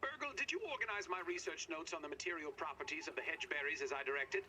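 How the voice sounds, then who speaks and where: An actor playing an impatient, condescending scientist in a game audio log, curt and commanding with dry pomposity. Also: male voice, on the high side, quick pace, sharp tone, slight background noise. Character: impatient, condescending male scientist, dryly sardonic.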